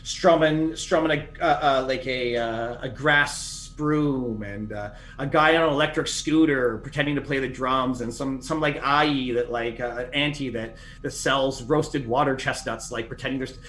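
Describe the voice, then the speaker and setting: Middle-aged male guest, loose rambling storyteller, medium pitch, faint room noise. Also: normal speed, hardly any pauses, a bit bright. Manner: rambling, many restarts and fillers. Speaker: podcast guest. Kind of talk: casual interview chat.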